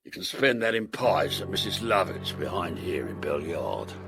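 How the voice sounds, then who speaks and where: An actor playing a menacing, suspicious shopkeeper in a period audio drama, curt and domineering. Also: male voice, low voice, big pitch swings, normal speed, gravelly, music in the background, full character voice. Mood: grudging, sly. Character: menacing, suspicious male barber shopkeeper hiring a boy.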